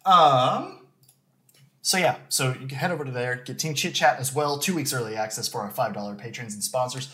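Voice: mid-range voice, fairly quick, bright, forceful tone. A podcast host chatting casually on a live stream in a jokey, slightly theatrical way.

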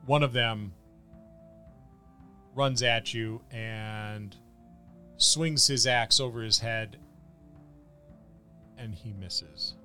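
A man working as a game master, improvising halting, descriptive narration of a tabletop fantasy battle. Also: fairly low pitch, sharp tone, faint music behind.

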